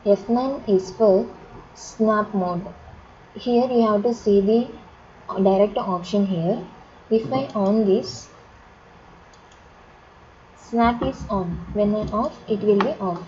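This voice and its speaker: A woman with an Indian accent teaching software step by step as an instructor, in short, repetitive instructional phrases. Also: medium pitch, takes it slowly, pauses often, slight background noise. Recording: dull lo-fi audio, chatter of voices behind.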